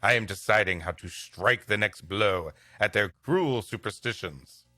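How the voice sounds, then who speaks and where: A man's voice: on the low side, measured pace, pushed and bright, no room sound, studio quality, voice pushed down. Man acting out a resolute, declaiming missionary saint. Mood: resolute, rousing. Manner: solemn, declamatory. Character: zealous, resolute missionary saint in a Victorian tale.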